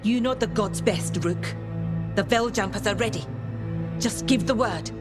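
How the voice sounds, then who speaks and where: A woman's voice: medium pitch, unhurried, lightly acted. Lady as serious scout in a video game, mid voice, music behind. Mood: serious, urgent. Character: serious female forest scout reporting to the leader.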